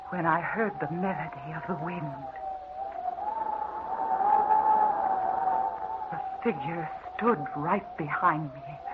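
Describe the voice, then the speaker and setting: An actress playing a haunted, weakening wife in a melodramatic 1940s radio drama monologue, anguished and confessional. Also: female voice, fairly low pitch, phone-quality sound. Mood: haunted dread. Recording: thin, hissy old radio recording.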